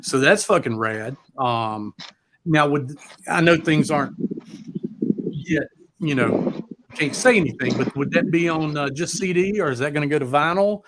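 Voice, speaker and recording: A man hosting a live music chat, talking casually and haltingly with loose swearing. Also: a little high, noisy background. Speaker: host of a live music talk show.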